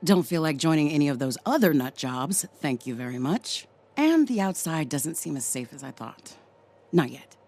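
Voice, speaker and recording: Young game scientist, wry, sarcastic, casual, hopeful underneath, mid-low for a woman. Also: big pitch swings, normal speed, darkish tone, exaggerated voice. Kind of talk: video game voice acting.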